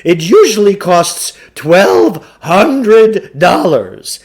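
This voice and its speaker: A blustering storybook huckster, played by a male reader, pitched high and pushed loud. The delivery is theatrical and wheedling, swooping up and down as he pompously works his sales pitch. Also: very animated, very slow, vocal fry, heavily acted. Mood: salesman's pitch. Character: blustering, wheedling huckster salesman peddling mind-control gadgets.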